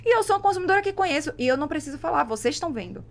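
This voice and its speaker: A Brazilian woman chatting emphatically on a podcast, telling things in an animated conversational way. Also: sits high, racing. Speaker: podcast host and mentor.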